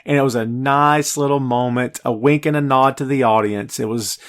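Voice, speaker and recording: A man's voice: mid-range voice, steady tone, moderate pace. A middle-aged American man hosting a podcast, talking about film in a casual, emphatic, conversational way. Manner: emphatic, conversational, addresses listener as "dude". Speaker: podcast host.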